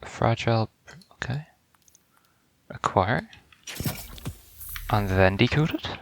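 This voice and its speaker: A man's voice: mid-range voice, animated, takes it slowly, creaky, a little hiss or hum. A man giving casual first-reaction gameplay commentary, informal and stumbling a little as he reads game text aloud. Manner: informal, stumbles over words when reading aloud. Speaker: gaming video creator.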